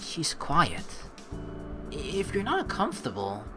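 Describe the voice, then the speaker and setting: A woman's voice: deep voice, huge pitch swings, slow. A woman let's player reading game text aloud expressively, mixed with casual commentary. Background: game music.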